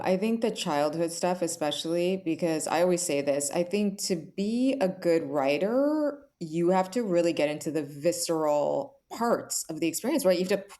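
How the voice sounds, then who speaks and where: Woman, slightly low pitch, earnest, reflective, conversational interview guest. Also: a little mellow. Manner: earnest, reflective, often seeks agreement with "right?". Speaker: author guest on a podcast.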